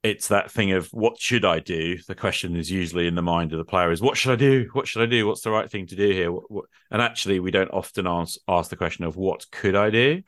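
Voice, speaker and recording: A man's voice: deep voice, brisk, hardly any pauses, fairly bright tone, dry recording, clean studio sound. A man in an unscripted discussion, explaining his thoughts reflectively as a game master and often checking in with rhetorical questions.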